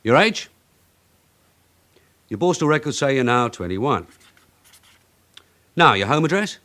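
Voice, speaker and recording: A bossy police sergeant in a radio play, clipped and probing, voice moves around a lot. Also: male voice, mid-range voice, big pitch swings, unhurried, bright, forceful tone. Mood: probing, sardonic. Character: brisk, authoritative male police detective sergeant. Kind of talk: radio drama acting.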